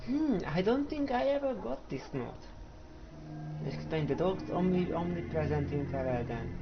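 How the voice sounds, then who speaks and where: A man's voice: high-pitched, lively intonation, moderate pace. A man with a Hungarian accent, thinking aloud as he comments casually on a game he is playing. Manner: thinking aloud, hesitant, chuckling. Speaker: let's play host. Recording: dull lo-fi mic with background noise.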